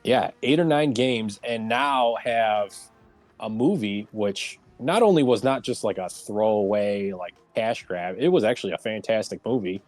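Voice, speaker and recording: A man's voice: lowish voice, measured pace, darkish tone, no echo, faint music behind. A man hosting a casual livestreamed round table talk show.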